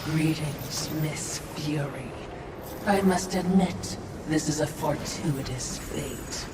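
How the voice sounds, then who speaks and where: An actress playing a sly, fawning demonic servant in a video game, with an oily, gushing, theatrical manner. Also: female voice, sits low, slow. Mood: ingratiating. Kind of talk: video game cutscene acting. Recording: distant, echoing, loud background noise.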